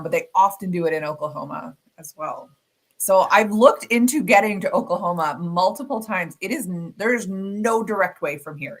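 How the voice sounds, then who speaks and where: A woman in her thirties or forties with a Canadian accent, chatting casually as a podcast co-host. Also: fairly low pitch. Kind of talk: casual chat.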